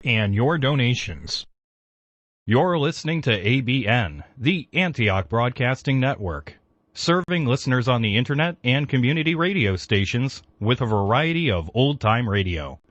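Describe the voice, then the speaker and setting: A man's voice: medium pitch, very animated, normal speed, a bit bright, dry recording. A man reading scripted continuity announcements as a radio network announcer. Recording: slightly dull, dry studio recording.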